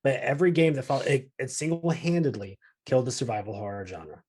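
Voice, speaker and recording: A man with a husky, slightly rough voice at a middle pitch. He talks casually as a podcast panelist, making blunt, emphatic points and swearing along the way. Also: fast talker, darkish tone. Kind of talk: casual chat, film and game discussion.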